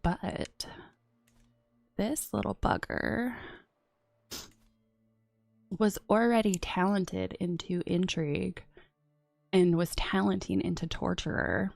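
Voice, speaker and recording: A woman streaming a strategy game and chatting casually, a bit sniffly from a runny nose, often dropping into soft whispered asides. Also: medium pitch, steady tone, slow pace, creaky, pauses often, dry recording, studio quality, faint music behind. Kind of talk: casual gameplay commentary.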